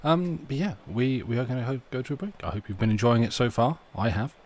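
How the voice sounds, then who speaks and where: A man's voice: medium pitch, animated, fast. Male stream host, mid-range, casual chat to audience, slight background noise.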